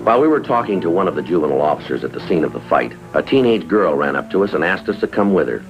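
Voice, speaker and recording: A man's voice: low-pitched, very animated, very fast. An American man playing a stoic, businesslike police detective in a 1950s drama, speaking in a clipped, matter-of-fact way. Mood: flat, official. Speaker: police detective lieutenant and narrator. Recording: dull vintage TV soundtrack, music behind.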